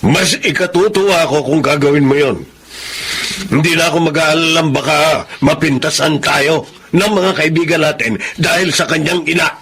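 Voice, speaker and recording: A man's voice: fairly high pitch, moderate pace, a bit bright, slightly rough. An actor playing an older, snobbish and domineering Filipino father-in-law in a Tagalog radio drama, indignant and commanding. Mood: scornful, commanding. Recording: faint background noise.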